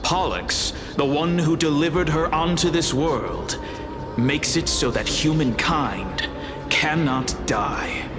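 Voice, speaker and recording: An actor playing a weary, grave scholar in a video game, speaking in formal, portentous, measured lines. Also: male voice, a little high, music in the background. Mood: grave, resolute. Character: weary, grave scholar entrusting a final great task. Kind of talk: dramatic video game acting.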